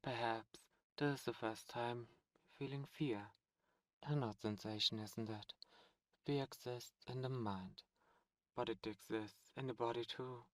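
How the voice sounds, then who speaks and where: A man voicing a seductive ancient demon prince, a fallen angel, speaking softly and slowly in an ASMR roleplay. He coaxes and tempts, close to the microphone. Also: medium pitch, fairly even intonation, no room sound, close to an everyday voice. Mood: tempting. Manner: soft, slow, coaxing and tempting.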